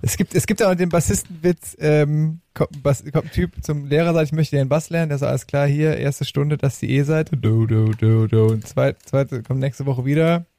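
A man's voice: on the high side, steady tone, normal speed, hardly any pauses, dark tone, no echo. A man co-hosting a podcast, talking in a casual, anecdotal conversational way. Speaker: podcast co-host, musician. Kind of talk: casual chat, telling jokes.

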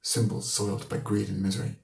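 Male literary narrator, Irish accent, lowish creaky voice, roomy recording. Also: flat delivery, a little fast, darkish tone. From Ireland. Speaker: audiobook reader. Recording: roomy echo.

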